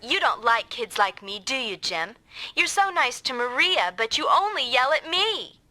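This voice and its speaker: High, bright, pouty young girl. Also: very animated, normal speed, dry recording, exaggerated voice. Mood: sulky. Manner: sulky, petulant. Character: pouty, touchy young girl riding along as passenger. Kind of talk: anime-style video game dub acting.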